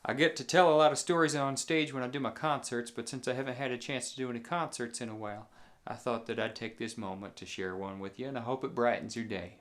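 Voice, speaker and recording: A man with a rural southern Indiana accent telling a story in a folksy, colloquial way, pausing between phrases. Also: mid-range voice, steady tone, fairly bright tone. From southeast Indiana, United States. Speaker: musician and storyteller.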